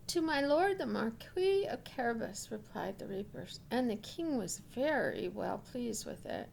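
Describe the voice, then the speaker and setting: A woman narrates a fairy tale in a fairly high, creaky voice. She reads slowly and expressively, as a storyteller. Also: a little hiss or hum, rough recording.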